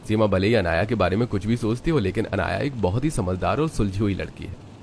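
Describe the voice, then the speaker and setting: A man's voice: lowish voice, quick pace, gravelly, darkish tone, slight background noise. A man reading scripted narration for a moral story as its storyteller.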